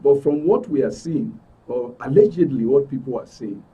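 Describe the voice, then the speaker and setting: A man with a Nigerian accent and a husky voice giving an emphatic account as an interview guest, repeating phrases for stress. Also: mid-range voice, moderate pace, distant mic, dull audio. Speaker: interview guest, club member. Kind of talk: spoken account in a broadcast interview.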